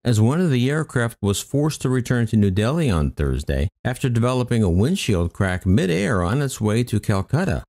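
A man's voice: lowish voice, a little mellow. A man narrating aviation news from a script, reading reports aloud with brief comments of his own. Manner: reads news reports aloud with occasional asides. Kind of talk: scripted news narration read over footage.

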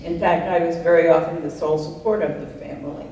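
An older woman, a writer on a panel, answering audience questions in a reflective, unhurried way and laughing often. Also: low voice, fairly even intonation, mellow. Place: art center talk room, audience present. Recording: echoey room, distant mic, faint noise.

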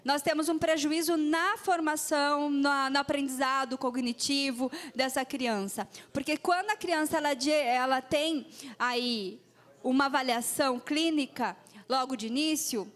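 Councilwoman in her forties, São Paulo accent, high-pitched, earnest floor speech on a handheld mic with fillers. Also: steady tone, measured pace, a bit bright. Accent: Brazilian Portuguese, São Paulo state. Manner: earnest, searching for words with many fillers. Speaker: city councilwoman. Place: large council chamber. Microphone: handheld microphone.

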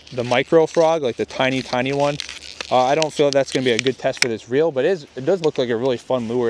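A young man talks loosely to the camera while testing a fishing reel outdoors by a lake. His voice sits in an everyday middle pitch. He rambles, restarts his thoughts and trails off. Faint outdoor noise from wind and open air sits under the voice. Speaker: fishing video host. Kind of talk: casual outdoor gear-test commentary. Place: outdoors on a lakeshore. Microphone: chest-mounted camera.

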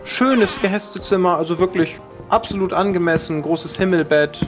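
A man's voice: high voice, moderate pace, faint music behind, natural voice. A man voicing a courteous, formal manservant in a horror roleplay audio drama. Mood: polite. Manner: courteous, servile. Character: obliging, formal manservant of a manor.

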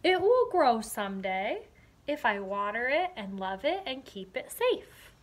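A high-voiced, stubborn little girl from a storybook acted out by a woman reading aloud. She is impatient and exclaims emphatically, like a child. There is faint noise on the home recording. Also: sing-song, a little slow. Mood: hopeful.

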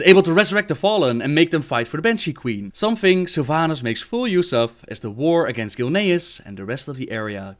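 A man reading scripted video game lore as a narrator, in a storytelling manner. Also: medium pitch.

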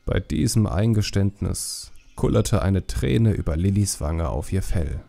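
Low, gravelly male storyteller. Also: expressive, a little slow, mellow, faint music behind. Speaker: audiobook narrator. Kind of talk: scripted storytelling narration.

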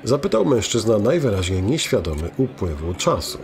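A man's voice: fairly low pitch, sing-song, moderate pace, vocal fry, noisy background. A man narrating a scripted fantasy audio drama as its storyteller. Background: music behind the voice.

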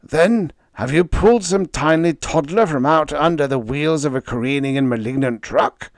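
A man's voice: on the high side, normal speed, dry recording, pitched above the performer's own voice, natural voice. A man voicing a blustering, hot-tempered wrestling manager who screeches and howls his questions, in a dry close recording. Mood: outraged. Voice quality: screeching, howling.

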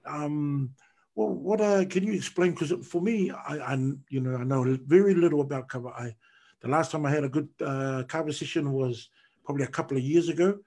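Male online talk host, slightly high and mellow voice, hesitant questions over video call. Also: a little fast. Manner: hesitant, searching for words while asking questions. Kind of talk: hosting a live online talk show.

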